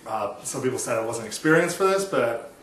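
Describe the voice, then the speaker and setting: Halting man fielding press questions. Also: mid-range voice, animated, fairly bright tone, distant mic. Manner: halting, hesitant, full of ums. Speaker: head coach at a mock press conference. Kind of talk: comic press conference skit. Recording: echoey room, heard from afar.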